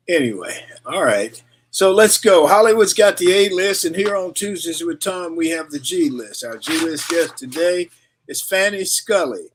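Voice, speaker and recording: Male talk-show host, slightly high voice, loose and chuckling between scripted intros. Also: a little hiss or hum, poor audio. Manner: loose, chuckling, trails off into asides. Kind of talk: casual radio talk.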